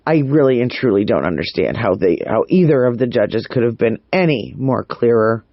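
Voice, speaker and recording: A man's voice: medium pitch, expressive, moderate pace, no echo. A man hosting a true crime vlog, giving casual, opinionated news commentary and repeating words for emphasis. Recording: dull, muffled lo-fi audio.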